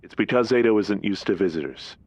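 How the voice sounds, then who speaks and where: Male hospital staffer, audio drama, clinical and businesslike, deepish, no room echo. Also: expressive, brisk, darkish tone. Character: matter-of-fact male hospital staffer on the phone. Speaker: actor in a full-cast audio drama.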